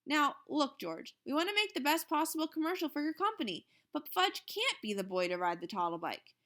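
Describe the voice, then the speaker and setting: A woman voicing a firm, measured father who works at an advertising agency, in a storybook reading. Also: high-pitched, very animated, a bit bright, pitched above the performer's own voice.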